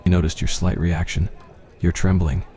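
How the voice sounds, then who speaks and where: Male narrator, low pitch, dark mellow tone, scripted ASMR storytelling. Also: level tone, moderate pace.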